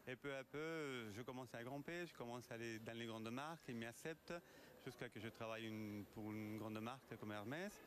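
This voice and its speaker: A man from Argentina with a mixed Italian and Spanish accent, answering an interview about his work in halting phrases. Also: medium pitch, fairly bright tone. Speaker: leather goods designer being interviewed. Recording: noisy on-location event sound.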